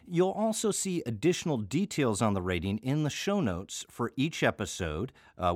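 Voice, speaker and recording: A man's voice: medium pitch, dry recording, clean studio sound. An American man hosting an audio theater podcast, talking conversationally in a wry, chatty manner with playful asides. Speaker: host and artistic director of an audio theater. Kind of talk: conversational podcast introduction to a show's season.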